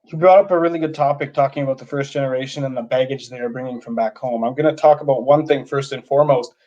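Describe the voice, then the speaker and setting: A man's voice: medium pitch, fairly even intonation, fast talker, a little mellow. Man from Canada, livestream guest, direct, emphatic, dull audio. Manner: direct, emphatic, speaks bluntly. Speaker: guest, youth advocate. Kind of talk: livestream conversation.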